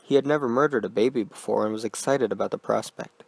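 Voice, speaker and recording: Man, horror storyteller, quiet deliberate delivery, very dry close sound. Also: medium pitch, creaky, husky, no echo. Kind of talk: scripted horror narration.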